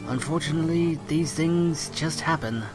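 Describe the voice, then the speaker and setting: Higher-pitched caring man acting over music. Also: normal speed, lightly acted. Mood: gentle, resigned. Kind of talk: audio drama acting.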